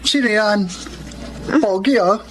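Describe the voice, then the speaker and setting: Very high-voiced, frank, preachy uncle. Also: male voice, takes it slowly, a bit bright, a little hiss or hum, rough recording. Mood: nosy, teasing. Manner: preachy, earnest. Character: moralizing male elder relative, frank, admonishing, encouraging. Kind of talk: Tagalog radio drama acting.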